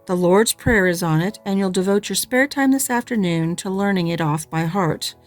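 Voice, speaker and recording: A woman's voice: medium pitch, normal speed, soft background music, lightly acted. A woman voicing a stern, dry, unbending middle-aged spinster, curt and disapproving, in an audiobook reading. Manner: curt, disapproving and matter-of-fact.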